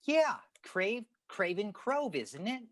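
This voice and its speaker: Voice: mid-range voice, very animated, slow pace. An actor playing an eager teenage schoolboy with flowery, pompous phrasing in a radio comedy. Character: Walter Denton, florid, overeager teenage schoolboy.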